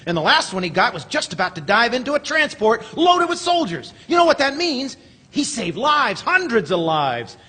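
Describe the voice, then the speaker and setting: A man playing an earnest, excitable small-town family man in an old-time radio drama, stammering and repeating his words with a husky voice. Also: very high voice, moderate pace, full character voice. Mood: proud, excited. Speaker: actor in a live radio drama. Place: theater stage, live performance. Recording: reverberant theater stage recording.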